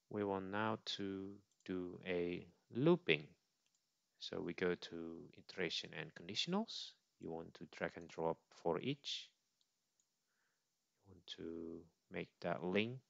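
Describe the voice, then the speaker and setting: Deep gravelly guy walking thru a software demo, takes his time, dull lo-fi mic. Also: no room sound. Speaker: software tutorial presenter. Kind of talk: informal step-by-step screen demo narration.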